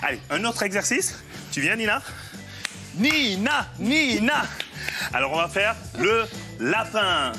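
Male TV fitness coach, 30s, lively swings in pitch, encouraging and playful, music behind. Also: slow, pauses often. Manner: physically active while talking. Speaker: fitness coach on a TV parenting show. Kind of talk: demonstrating exercises to a mother and young child. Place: living-room style TV studio.

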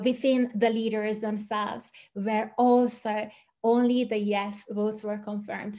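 Woman, higher voice, slow, halting, webinar presenter. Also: darkish tone.

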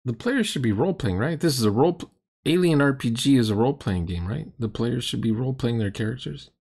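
Animated, husky-voiced man commentating. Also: medium pitch, quick pace. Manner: exasperated, mocking, emphatic. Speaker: host reacting to a game recording. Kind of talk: casual critical commentary. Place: dim room indoors.

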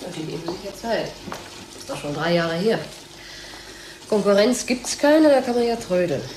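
A woman's voice: mid-range voice, lively intonation, normal speed, close to an everyday voice. An actress playing a sardonic, impatient female lab co-worker in a radio drama. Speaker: laboratory co-worker character. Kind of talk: German radio drama acting. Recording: echoey noisy room.

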